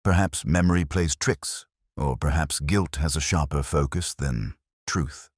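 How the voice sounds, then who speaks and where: Man as very deep, brooding police inspector. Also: husky, no echo, studio quality, heavily acted. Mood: grave, pensive. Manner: measured, literary, melancholy. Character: weary, brooding male police inspector, narrating in first person. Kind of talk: scripted first-person mystery narration.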